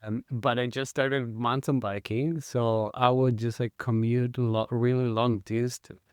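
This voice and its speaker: A man in his thirties from Chile, with a Chilean Spanish accent, talking as a guest in a casual interview, halting and full of fillers and restarts. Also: medium pitch, level tone, clean tone, no echo, clean studio sound. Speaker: guest, mountain bike guide.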